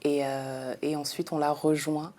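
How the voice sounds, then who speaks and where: A woman from the Democratic Republic of the Congo, a businesswoman answering interview questions in a calm, earnest way with frequent hesitations. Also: low voice, unhurried. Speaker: guest, businesswoman. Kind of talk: conversational interview.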